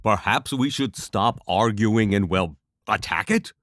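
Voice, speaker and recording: Man playing a low-voiced, wry young mage. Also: measured pace, a bit bright, no echo, clean studio sound. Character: wry, cautious young male lightning mage. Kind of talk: fantasy audio drama acting.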